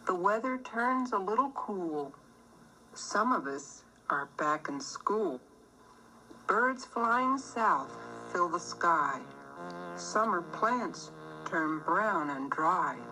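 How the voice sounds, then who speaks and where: A woman reading a children's book aloud as scripted narration, deliberate and expressive. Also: fairly low pitch, music in the background, rough recording.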